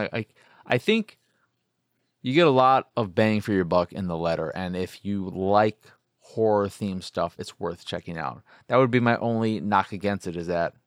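Low-voiced man rambling casually, close and dry. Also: clear, resonant tone, no room sound. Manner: rambling, hedging, circles back to rephrase. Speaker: podcast host. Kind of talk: casual solo video game review talk.

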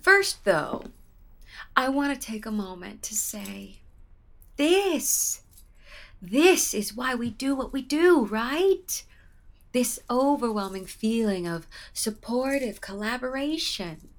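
An actress playing a gushing, eager theater collaborator in an audio comedy, effusive and emphatic. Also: female voice, on the high side, expressive, slow. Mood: sentimental, gushing. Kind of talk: audio comedy drama acting.

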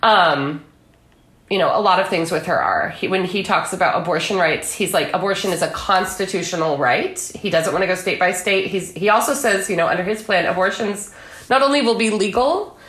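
A woman's voice: lowish voice, fairly quick, a bit bright. A woman guest giving her opinions in a casual political discussion, conversational and often acting out what other people say. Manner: conversational, opinionated, quotes others in mock dialogue. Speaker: guest author and commentator. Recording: echoey room.

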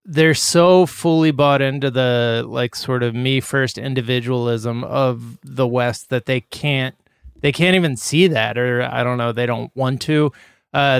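A man's voice: mid-range voice, clean tone, no echo, studio quality. A man co-hosting a casual podcast, relaying a news story with many hesitations. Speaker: podcast co-host.

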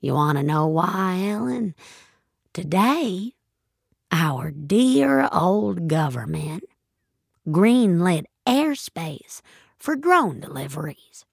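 A woman's voice: fairly low pitch, very animated, slow pace, creaky, breathy edge, no room sound, clean studio sound, full character voice. A woman voicing a blunt, gloomy old country grandmother in an audio story. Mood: bitter, resigned. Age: older. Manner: folksy, blunt, fatalistic musing.

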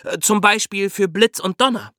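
A man with a higher-pitched, bright voice explains history as a podcast host. His delivery is animated and conversational, told like a story, in a dry, close recording. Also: normal speed, no room sound.

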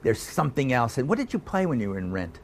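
Male interviewer, playful and teasing, animated pitch, light background noise. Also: fast. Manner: playful, teasing, laughs along. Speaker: podcast host, film critic. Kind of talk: podcast interview chat.

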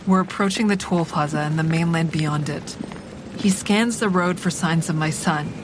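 Drama narrator: woman to play a controlled, wry schemer, slightly low register, first-person storytelling, light background noise. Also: moderate pace, lightly acted. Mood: controlled, observant. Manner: wry, controlled, matter-of-fact. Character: cool, calculating young woman narrating her own manipulations. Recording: faint traffic rumble behind.

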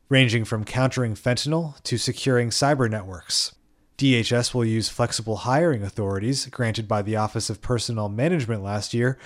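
A man with an American accent delivering a scripted news report. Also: medium pitch.

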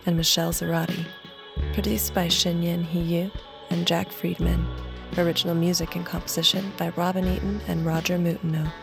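A woman reading end credits in an even, measured way. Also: lowish voice, gravelly. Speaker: credits announcer.

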